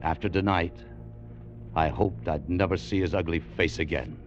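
Guy acting a world-weary hard-boiled salesman type, old radio drama, deep-ish voice, music under it. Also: steady tone, moderate pace, natural voice. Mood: brooding. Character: restless, fed-up American machinery salesman, world-weary adventurer. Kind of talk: 1940s-50s radio drama acting, first-person narration. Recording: dull vintage radio recording, music behind.

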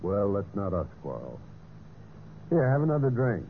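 A scheming husband in a vintage radio mystery. His voice is on the low side and swings widely in pitch, edgy and evasive, on a thin, tinny old broadcast recording. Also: big pitch swings, normal speed, vocal fry, slight background noise. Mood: falsely friendly. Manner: hesitant, stalling with uhs when speaking to others. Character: scheming husband narrating his murder plot, nervously evasive. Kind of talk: 1940s-50s radio mystery acting with first-person narration.

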